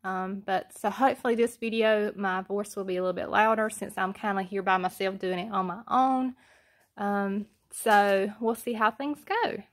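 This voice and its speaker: A soft-spoken woman with a Southern US accent, talking low and hesitantly with many ums as she shows a home project alone in front of her phone camera. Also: fairly even intonation, normal speed. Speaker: homestead vlogger. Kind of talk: casual how-to vlog.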